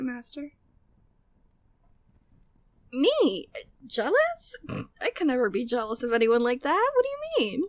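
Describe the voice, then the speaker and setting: High-voiced flirty, devoted maid played by a woman. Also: no room sound, exaggerated voice. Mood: flustered, jealous. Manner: formal, genteel phrasing, breathy sighs, teasing. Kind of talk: intimate ASMR roleplay, scripted monologue.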